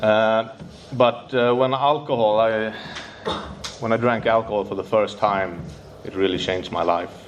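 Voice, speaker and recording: Low-voiced Swedish man, nervous, halting non-native English, faint noise behind. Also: fairly even intonation, highs cut off. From Sweden. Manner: halting, searching for English words, many fillers and restarts. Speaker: speaker sharing recovery story. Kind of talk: recovery meeting share.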